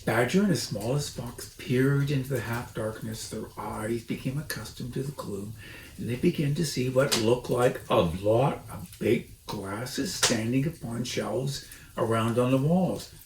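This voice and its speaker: A man's voice: mid-range voice, a little slow. A man hosting a story time, reading aloud theatrically with chatty, casual asides. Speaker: storybook reader and host. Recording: roomy echo, faint noise.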